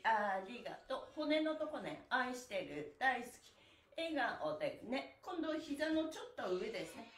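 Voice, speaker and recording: Woman, middle-aged, stretching instructor, swooping animated intonation, cheerful encouragement, chanted counts, echoey small room. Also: fairly high pitch. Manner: cheerful, encouraging, sing-song calls while demonstrating stretches. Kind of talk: live exercise lesson with chanted counting. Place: small bare indoor room. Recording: echoey room, mic at a distance.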